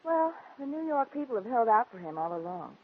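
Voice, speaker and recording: An actress playing a doting, breezy Hollywood society sweetheart in a 1940s radio drama, gushing and coaxing. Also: female voice, a little high, sing-song, a little fast, a little hiss or hum. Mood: doting, reassuring. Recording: phone-like old 1940s radio recording.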